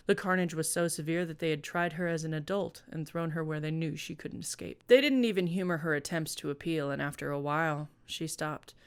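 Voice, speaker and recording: Woman w kinda low voice, casual, reading out a funny fanfic. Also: clean tone. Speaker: presenter reading own fan fiction. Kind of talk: casual intro and comedic story narration.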